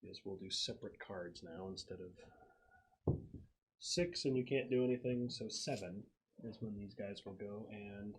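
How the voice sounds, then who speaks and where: A man working as a game master, narrating a tabletop game in a halting way as he thinks aloud. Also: on the low side.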